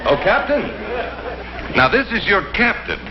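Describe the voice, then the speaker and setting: A man's voice: high-pitched, big pitch swings, unhurried, crowd noise. Gushing travel agent salesman, 60s tv comedy, voice all over the place, noisy old recording. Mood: smoothly promotional. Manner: eager, salesman-like, insistent. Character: eager, officious airline travel agent man, relentlessly promotional. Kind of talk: 1960s TV variety show comedy sketch acting. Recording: muffled, very noisy 1960s television audio.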